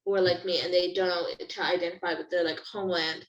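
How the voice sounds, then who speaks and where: A woman's voice: fairly low pitch, level tone, quick pace, echoey room, slight background noise, low-quality recording. A teenage girl with an American accent, a guest, talking in a hesitant, self-deprecating way. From India, raised in the United States. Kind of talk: casual chat.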